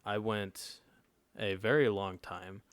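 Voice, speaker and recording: A young man of nineteen, his voice sitting very low, recounts a story in easygoing podcast chat. He keeps it loose and conversational, often tossing a quick check-in to his co-hosts. The sound is dry and close, with no room around it. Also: fairly even intonation, clean tone, no echo. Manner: laid-back storytelling that often checks in with the listeners. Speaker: podcast co-host. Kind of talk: casual chat, telling a personal story.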